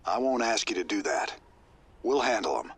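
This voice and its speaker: An actor playing a weathered male rebel commander in a video game, gravelly and laconic with a drawl. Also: fairly low pitch, animated, moderate pace, fairly bright tone, exaggerated voice. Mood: reassuring.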